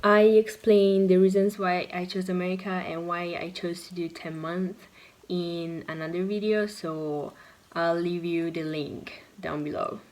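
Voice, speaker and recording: An eighteen-year-old woman from Italy speaks English with an Italian accent, her voice sitting a little low. She talks slowly and casually, often pausing to find the next word. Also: fairly even intonation, darkish tone, slight background noise. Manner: unhurried, pauses to search for words. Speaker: former exchange student. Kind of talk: casual vlog chat.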